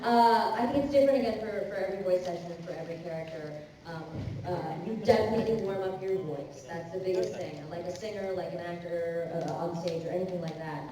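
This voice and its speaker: A woman voice actor answering fan questions on a convention panel, chatty and quick to laugh, with many restarts. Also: fairly low pitch. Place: large convention hall. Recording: echoey hall from far off with crowd.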